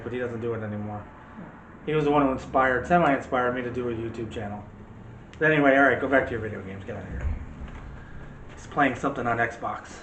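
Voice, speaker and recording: A middle-aged man chats casually as co-host of a homemade movie show. He rambles and teases at an ordinary pitch. The room echoes noticeably, with faint noise behind him. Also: a bit bright. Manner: teasing, rambling. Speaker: co-host of a movie review channel. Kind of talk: casual chat. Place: room at home. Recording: roomy echo, faint background noise.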